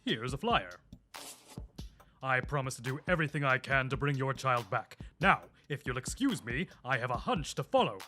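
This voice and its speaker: A dogged, quirky police detective acted by a man, forceful and lively in pitch over light music. He is earnest and probing, with a melodramatic radio-play delivery. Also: normal speed. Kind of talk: audio drama acting.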